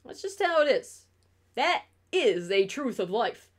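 Young upstate new york woman streaming from her bedroom, blunt, swears a lot, kinda high voice. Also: very animated, unhurried. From New York State. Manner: blunt, swears freely, ranting. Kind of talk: casual stream chat.

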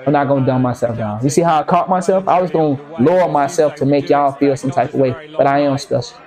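A man's voice: mid-range voice, quick pace, a little mellow. A man in his thirties streaming games and giving a rambling, preachy motivational talk to his viewers. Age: 30s. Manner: preachy, repeats himself, addresses viewers as y'all. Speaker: game streamer. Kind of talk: casual motivational talk to a live chat.

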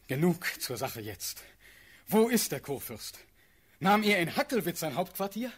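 An actor playing an ardent, impetuous young prince in a classical radio drama, with a breathy, very husky voice and impassioned delivery. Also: male voice, a little high, very animated, a little slow, heavily acted. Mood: urgent.

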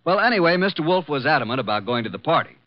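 An actor playing a breezy, wisecracking detective's assistant, glib and sarcastic, in old-time radio drama style. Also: male voice, a little high, sing-song, very fast. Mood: wry narration. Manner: glib, sarcastic, smooth-talking. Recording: muffled vintage radio broadcast.

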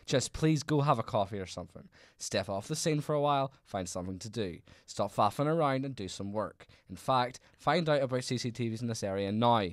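Male actor for exasperated professional detective inspector, radio drama. Mid-pitched, precise, very formal diction, no slang. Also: lively intonation, brisk, hardly any pauses, dry recording, clean studio sound, natural voice. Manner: formal, clipped, no contractions. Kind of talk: amateur radio drama acting.

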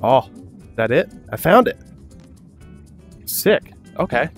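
A young man giving casual, excitable gameplay commentary, swearing often and laughing a lot. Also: mid-range voice, slow, faint music behind. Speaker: gaming YouTuber.

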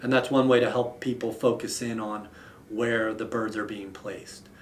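A man in his thirties explaining things in a formal, measured way with deliberate pauses, like an agency official being interviewed. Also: lowish voice, steady tone, slight background noise. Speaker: state wildlife agency official. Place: indoor office with wall map. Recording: roomy echo, slightly distant mic.

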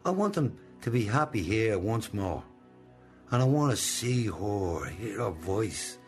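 An older Irish actor in a radio play, playing a controlling old boss with a deliberate, coaxing manner and benign menace. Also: male voice, on the low side, animated, faint music behind. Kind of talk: radio drama acting.

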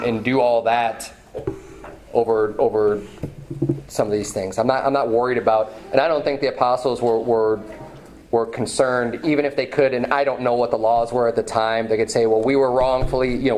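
A man in his thirties preaches at a pulpit in a small church hall, picked up by a camera some distance away. He drives his points home by repeating phrases and lets his pitch climb as he warms up. A faint hiss and a few clicks sit under the voice. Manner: emphatic preaching, repeats phrases, rises in pitch when stirred. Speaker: pastor. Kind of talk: sermon. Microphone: far camera, at a pulpit.

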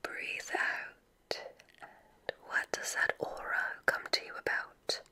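A woman whispering an ASMR roleplay as a gentle witch examiner, guiding deliberately with soft, encouraging praise.

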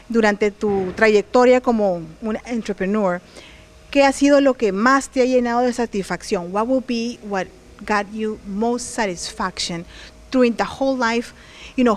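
A woman's voice: medium pitch, a little hiss or hum. A woman hosting an interview, chatty and upbeat, switching languages to repeat her questions into a handheld mic outdoors. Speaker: host and interviewer. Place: outdoors on the porch of a large unfinished house.

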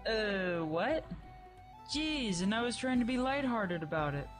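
A woman's voice: fairly high pitch, a little slow, soft background music. Snarky young creature heroine, voiced by a woman. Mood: brash. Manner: snarky, expressive, colloquial. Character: sarcastic, brash young female creature, dead heroine. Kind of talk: dramatized webcomic audio play.

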